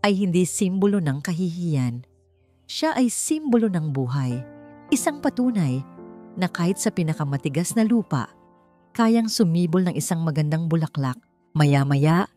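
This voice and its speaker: A woman narrating a scripted romantic drama, phrasing it deliberately with dramatic pauses. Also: low voice, warm tone. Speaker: story narrator.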